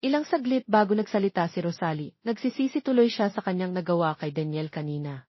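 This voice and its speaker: A woman's voice: on the low side, expressive, fairly quick, no room sound. A woman with a Filipino accent reading a scripted romance story as an audiobook narrator. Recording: slightly dull, lo-fi recording.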